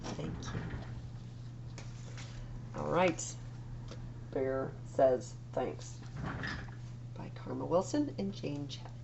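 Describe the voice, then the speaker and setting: Female story-time reader, gravelly edge, expressive and measured picture-book delivery, noisy humming recording. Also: low-pitched, highs cut off. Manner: expressive, deliberate storytelling for young children. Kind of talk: read-aloud picture book narration.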